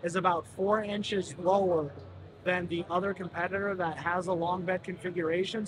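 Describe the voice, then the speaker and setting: A man in his thirties, a product representative giving a polished demonstration of a utility vehicle in a large indoor expo hall. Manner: polished, explanatory sales pitch. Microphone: camera mic at close range. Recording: crowd noise of a busy expo hall.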